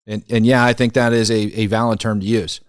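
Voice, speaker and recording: A man's voice: on the low side, flat delivery, fairly quick, no echo, studio quality. A man hosting a commentary show, talking casually and conversationally with incredulous emphasis and repeated phrases.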